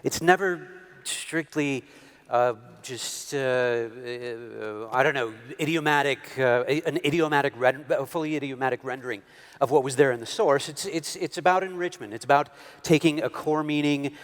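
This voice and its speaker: A man's voice: medium pitch, moderate pace. A man speaking on a game panel, thoughtful and prone to restarts. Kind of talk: panel answer. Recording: convention hall reverb.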